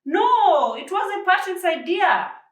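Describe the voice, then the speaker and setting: A woman's voice: extremely high pitch, big pitch swings, slow, fairly bright tone, pitched above the performer's own voice, full character voice. A woman voicing a guilty male crook in a storybook, sheepish, defensive and pleading like a caught child. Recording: roomy echo, distant mic.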